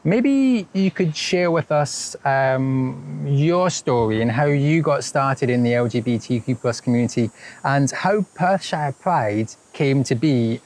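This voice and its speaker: A man interviewing a guest outdoors, asking questions in a measured way with some ums. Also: mid-range voice, expressive, darkish tone.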